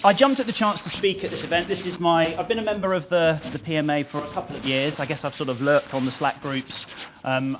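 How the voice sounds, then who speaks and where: A man's voice: mid-range voice, noisy background. A man speaking on stage at a conference into a lapel mic, talking in a conversational way with many ums and restarts. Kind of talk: conference presentation. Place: conference stage before an audience. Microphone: lapel mic pack.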